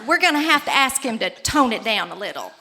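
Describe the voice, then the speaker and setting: A woman's voice: on the high side, very animated, a little fast, vocal fry, music in the background. A woman playing a prim, cautious church secretary in a live comedy sketch, speaking politely and fussily.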